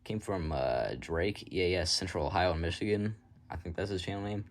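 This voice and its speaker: Casting: man, low-pitched, casual hobbyist vlogger, offhand and self-deprecating delivery, unscripted rambling. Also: fairly quick. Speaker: hobbyist showing a radio collection. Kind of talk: casual unscripted show-and-tell.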